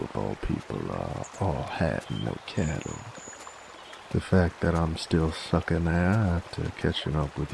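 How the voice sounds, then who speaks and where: A man playing a cocky, dominant werewolf bounty hunter in an ASMR roleplay, with a gravelly cowboy drawl and a teasing, folksy manner. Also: noisy background, full character voice. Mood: wry, cool. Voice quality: deep, gravelly. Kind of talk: ASMR audio roleplay, in-character monologue. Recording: steady trickling stream ambience behind. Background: running water, outdoor nature ambience.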